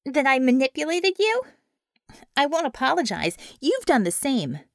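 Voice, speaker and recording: Female narrator as sly confident heroine, high voice, very animated, persuasive, emphatic, audiobook character. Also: normal speed, dry recording, clean studio sound, exaggerated voice. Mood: calm, firm. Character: confident, sly, persuasive American superheroine leader.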